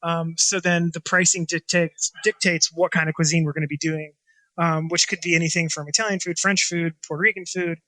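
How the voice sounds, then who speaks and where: A man with a bright, slightly high voice talks about his restaurant in a loose, rambling way. He leans on fillers and restarts and rarely finishes a thought cleanly. The sound is close and dry, with almost no room echo. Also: little pitch movement, a little fast. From Washington State, USA. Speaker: chef and restaurant owner. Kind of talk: casual interview talk.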